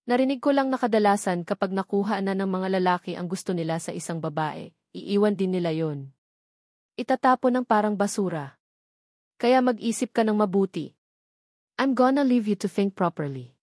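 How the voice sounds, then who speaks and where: A woman's voice: medium pitch, expressive, normal speed, a little mellow, no room sound, studio quality, lightly acted. Woman reading as a condescending Filipina romantic rival. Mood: falsely concerned. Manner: code-switches between Tagalog and English. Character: young female romantic rival, outwardly concerned, quietly condescending.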